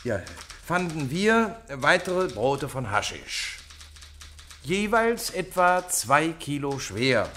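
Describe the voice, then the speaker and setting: Slow, fussy police officer, noisy background. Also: male voice, on the high side, big pitch swings, sharp tone, exaggerated voice. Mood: flustered. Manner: hesitant, fussy, deliberate dictation. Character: fussy, easily flustered police officer, dryly humorous. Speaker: police officer dictating a report. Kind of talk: radio drama acting.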